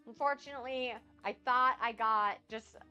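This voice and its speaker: A woman's voice: on the high side, steady tone, moderate pace, bright, forceful tone, clean tone, muffled recording, faint music behind. A woman hosting a casual cooking livestream, chatting with viewers and answering chat while she cooks, a bit sniffly and nasal from a cold. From United States. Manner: chatty, rambling, reads out and answers chat comments.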